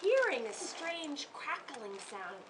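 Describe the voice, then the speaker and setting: High, animated woman storyteller, distant mic. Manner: theatrical, interactive, drawn-out emphasis. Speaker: author reading her story aloud to a live audience. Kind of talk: animated live storytelling with questions to listeners. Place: live reading before an audience. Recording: distant mic with audience noise.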